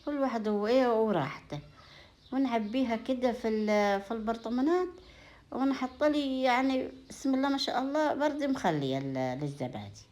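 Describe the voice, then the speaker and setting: A woman's voice: a little high, expressive, brisk, darkish tone. A woman with an Upper Egyptian Sa'idi accent chatting casually to her viewers in a home vlog, in a folksy way full of blessings and endearments. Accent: Upper Egyptian (Sa'idi) Arabic. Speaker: home vlogger.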